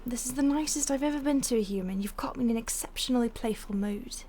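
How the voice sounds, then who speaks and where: Seductive vampire stalker played by a woman, higher register, breathy and whispery, condescending playful menace, intimate audio drama, faint noise behind. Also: fast talker, warm tone, natural voice. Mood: playful disdain. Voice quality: breathy, often dropping to a whisper. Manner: teasing, haughty, theatrical. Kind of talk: intimate audio roleplay addressed to the listener.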